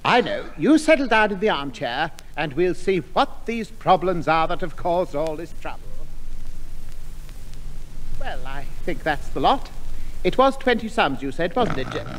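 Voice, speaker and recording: A man playing a polite, fussy, easily flustered gentleman in a 1960s radio comedy. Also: fairly high pitch, sing-song, a little slow. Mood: kindly. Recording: old radio recording, reverberant, faint noise.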